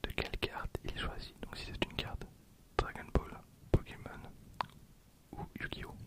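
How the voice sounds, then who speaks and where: A man in his twenties whispering for an ASMR video, soft and hesitant with frequent fillers, speaking close to a recorder. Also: a little slow. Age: 20s. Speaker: ASMR creator. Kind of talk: whispered ASMR card opening. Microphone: handheld recorder behind the camera.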